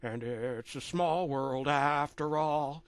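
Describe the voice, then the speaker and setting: A man's voice: fairly high pitch, takes it slowly, clear, resonant tone, no room sound. A man voicing a harsh male judge in a cartoon, pronouncing a cruel verdict pompously.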